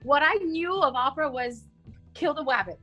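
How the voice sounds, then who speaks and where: A woman's voice: high voice, moderate pace, dull audio, soft background music. A woman in her forties, born in Cuba, chatting about her life as an opera singer over a video call from home, with many fillers. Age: 40s. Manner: chatty, warm storytelling with fillers. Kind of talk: casual video-call interview. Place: home room. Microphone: video call, laptop camera.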